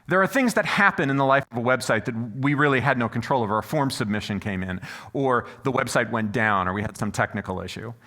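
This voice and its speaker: Male conference presenter, loud and animated, quick delivery, bright forceful tone. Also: mid-range voice. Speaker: conference speaker. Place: conference room before an audience. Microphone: stage microphone.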